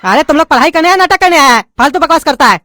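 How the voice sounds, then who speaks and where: A woman's voice: high-pitched, animated, racing, background noise. A woman voicing an impatient male teacher who scolds and mocks his students, with a lilt. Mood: scolding. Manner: scolding, sarcastic.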